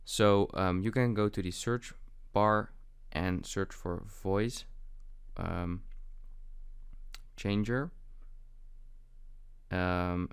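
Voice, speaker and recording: A man's voice: sits low, smooth. A young man hosting a casual how-to walkthrough, speaking in an improvised, hesitant way with frequent fillers. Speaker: tutorial host.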